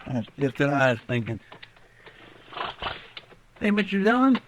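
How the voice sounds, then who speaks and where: An actor playing a folksy, simple-minded frontier sidekick with an American Western drawl in a radio western. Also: male voice, fairly high pitch, big pitch swings, unhurried. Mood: weary, musing. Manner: folksy, hesitant, rambling. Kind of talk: old-time radio western acting. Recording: noisy vintage radio recording.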